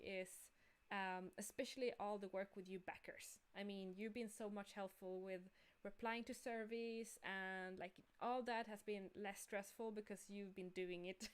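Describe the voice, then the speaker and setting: A woman's voice: medium pitch, smooth. A woman co-hosting a casual livestream as a game developer, talking in an upbeat, enthusiastic way.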